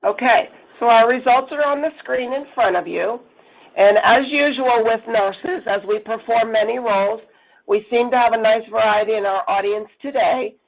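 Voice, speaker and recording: A middle-aged woman with a raspy voice, hoarse from a cold, giving a webinar presentation as a nursing executive. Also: a little high, moderate pace. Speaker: chief nursing officer presenting. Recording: phone-line audio.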